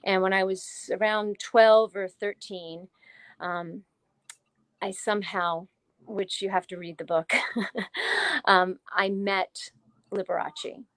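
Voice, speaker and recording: A woman's voice: mid-range voice, slow, pauses often, fairly bright tone, no echo. Chatty woman in her 40s from new jersey, tells her story with lots of laughs, dry close sound. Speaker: guest, author. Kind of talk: casual interview storytelling.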